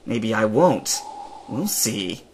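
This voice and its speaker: A man reading a story aloud, voicing a calm, stern hooded teenage stranger in his own voice. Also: fairly low pitch, takes it slowly, slight background noise, heavily acted.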